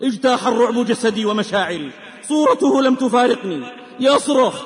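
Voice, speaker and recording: A man delivers a dramatic sermon in a very high-pitched voice. His manner is solemn and declamatory, full of emotive storytelling and exhortation, and every phrase rings out in long, hall-like reverb. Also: lively intonation, fairly quick. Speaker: preacher.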